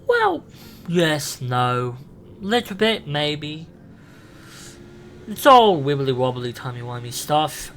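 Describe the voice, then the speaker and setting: A man playing a weary, haunted time-travelling alien adventurer and mentor in a sci-fi roleplay, speaking earnestly with halting, emphatic phrasing. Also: on the high side, big pitch swings, a bit bright, slight background noise, heavily acted. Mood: playful, musing.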